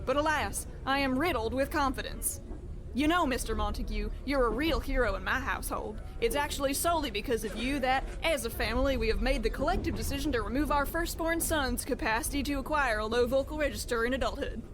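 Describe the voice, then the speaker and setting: A woman playing a high-voiced, theatrical lady passenger. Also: brisk, background noise, lightly acted. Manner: florid, dramatic. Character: theatrical, effusive blind lady train passenger.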